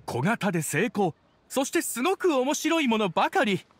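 A man's voice: very high-pitched, big pitch swings, moderate pace, no echo, heavily acted. A man voicing a polite, eager young toy merchant in a video game, with courteous sales patter. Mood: eager, selling.

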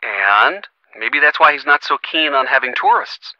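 An actor playing a supportive, teasing young boyfriend in a video game, earnest and lightly wry, heard through a phone filter. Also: male voice, medium pitch, expressive, normal speed, sharp tone, no echo. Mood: attentive, concerned. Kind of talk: video game voice acting, conversational. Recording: thin, band-limited phone-like sound.

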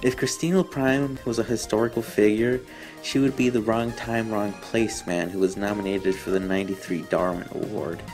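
A man hosting a review show, reading aloud and commenting with mock-indignant, deadpan sarcasm. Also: lowish voice, normal speed, soft background music. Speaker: host of a fan fiction review show.

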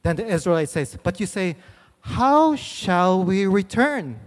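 High-voiced preacher in a hall. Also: male voice, big pitch swings, measured pace. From Philippines. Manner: conversational, explaining scripture with asides. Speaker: pastor. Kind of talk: sermon teaching. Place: large church stage. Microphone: handheld mic. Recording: reverberant hall.

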